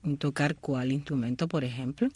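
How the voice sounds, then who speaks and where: A man's voice: medium pitch, fairly even intonation, normal speed, darkish tone, husky, no room sound. A male radio host asking questions and adding short clarifications for listeners. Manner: brief affirming interjections and clarifications for listeners. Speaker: radio interviewer. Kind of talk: interview hosting.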